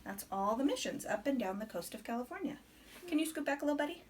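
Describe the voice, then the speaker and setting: Female storybook reader for young children, higher-pitched and very animated, expressive rhyming delivery, simple home recording. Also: fast, background noise. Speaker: read-aloud host reading to a small child. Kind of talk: picture-book read-aloud in rhyme with chatty asides to a child.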